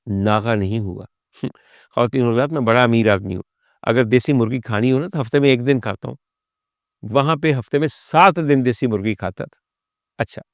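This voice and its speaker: A man with a Pakistani accent recounts his own experiences like a practised raconteur. His voice is gravelly and creaky at a middling pitch, with dramatic emphasis, and the sound is close and dry. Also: dry recording. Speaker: talk show guest and storyteller. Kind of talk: personal storytelling monologue to an audience.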